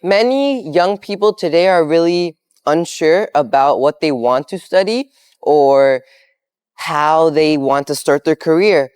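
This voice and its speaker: A man's voice: on the high side, animated, measured pace, a little mellow, clear, resonant tone, no room sound, clean studio sound. A man hosting an interview, asking plain and direct questions.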